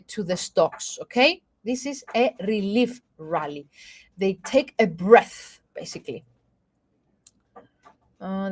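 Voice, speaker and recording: A woman from Cyprus with a Greek Cypriot accent, explaining markets in a live webinar, halting with many fillers and restarts and often checking in with "okay?". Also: medium pitch, a bit bright. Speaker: market analyst.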